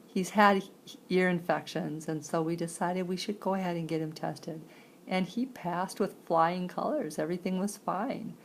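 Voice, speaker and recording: Woman kinda telling her story bit by bit, creaky voice, a little noise behind her. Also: fairly low pitch, moderate pace. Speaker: sign-to-voice interpreter. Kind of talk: conversational personal storytelling, voiced interpretation.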